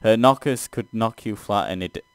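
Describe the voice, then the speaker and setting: A man's voice: fairly low pitch, brisk, a little hiss or hum. A man doing a let's play, reading a text game aloud and reacting casually.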